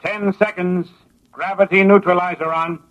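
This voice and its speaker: An actor playing an impersonal traffic controller in a vintage radio drama, calling out orders officially over a dull, lo-fi recording. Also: male voice, sits high, fairly even intonation, moderate pace, lightly acted. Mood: official, urgent.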